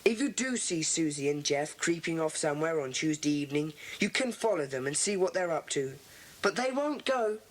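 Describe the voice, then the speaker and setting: A sceptical English schoolboy who leads his club played by an actor in a children's audio drama. He sounds confident, bossy and dismissive, at a middling pitch. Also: animated, moderate pace, natural voice.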